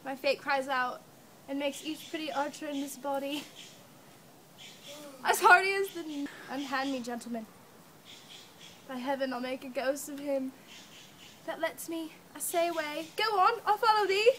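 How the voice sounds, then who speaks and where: A woman's voice: high voice, moderate pace, pauses often, sharp tone. A woman playing a defiant, determined young prince in an amateur Shakespeare drama, with declamatory delivery. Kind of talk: amateur stage reading of Shakespeare. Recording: some background noise.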